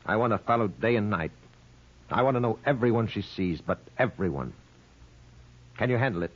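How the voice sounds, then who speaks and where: An actor playing a curt, suspicious, hard-nosed American bookie in an old radio crime drama, terse and demanding. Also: male voice, lowish voice, lively intonation, moderate pace, breathy edge. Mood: irritated, demanding. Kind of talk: 1940s-50s radio crime drama acting. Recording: muffled old-time radio recording.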